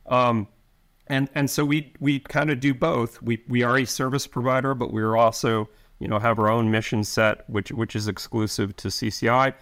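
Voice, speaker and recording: A middle-aged man, an intelligence officer answering interview questions, speaking haltingly with many restarts and fillers. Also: mid-range voice, little pitch movement, fairly bright tone.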